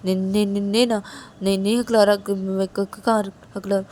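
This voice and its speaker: A woman's voice: mid-range voice, little pitch movement, brisk, darkish tone, slight background noise, natural voice. A woman voicing a nervous young woman who stammers heavily and repeats her syllables. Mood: nervous stammering. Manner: heavy stutter, repeating syllables.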